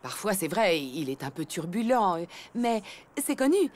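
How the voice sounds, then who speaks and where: A woman's voice: on the low side, sing-song, moderate pace, a little mellow. A woman voicing a kind, sensible elephant mother in a children's cartoon, affectionate and reasonable. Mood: defensive, hesitant. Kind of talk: French children's cartoon dialogue. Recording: music underneath.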